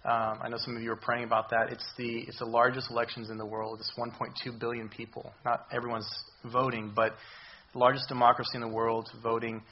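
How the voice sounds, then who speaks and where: A hoarse man preaches in a church, pausing and restarting often as he speaks. His voice sits at an ordinary middle pitch. The recording is dull and lo-fi, with faint hiss behind him. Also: monotone. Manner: halting, many ums and restarts. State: hoarse, recovering voice. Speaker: visiting missions speaker. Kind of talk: church sermon.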